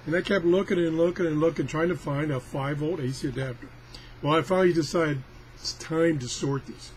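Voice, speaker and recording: Older man, higher-pitched voice, noisy recording. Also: a little fast. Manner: rambling, folksy, self-deprecating grumbling. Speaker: product reviewer. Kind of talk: casual hands-on product demonstration. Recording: clearly noisy amateur recording.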